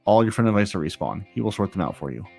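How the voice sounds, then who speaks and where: A man's voice: on the low side, big pitch swings, rapid-fire, vocal fry, dark tone, soft background music. A man hosting a gaming podcast in a casual, wry, joking style. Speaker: podcast host.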